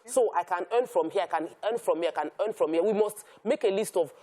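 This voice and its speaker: A woman's voice: mid-range voice, fast talker, warm tone. A woman with a Nigerian accent giving conversational opinions as a talk show panelist.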